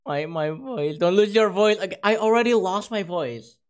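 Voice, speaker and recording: A streamer who has talked far too long, the voice rough, hoarse and cracking at the edges. The delivery is excitable and gushing, with a self-mocking streak as they keep thanking viewers. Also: mid-range voice, moderate pace. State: hoarse, losing voice. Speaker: livestreamer. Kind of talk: casual stream chat with viewers.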